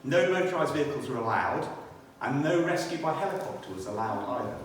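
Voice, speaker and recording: British male church speaker, testimony and scripture, thoughtful halting delivery; long reverb, faint background noise. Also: mid-range voice, sing-song. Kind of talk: personal testimony and scripture reading. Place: inside a church. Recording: echoey church hall, distant, faint noise.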